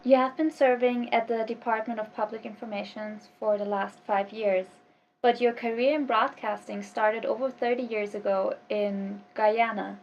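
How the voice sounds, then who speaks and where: A woman's voice: on the high side, fairly even intonation, moderate pace, creaky, far from the mic, dull audio, slight background noise. Young woman reading a formal introduction. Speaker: interviewer. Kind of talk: scripted introduction and interview questions. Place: small office with bookshelves.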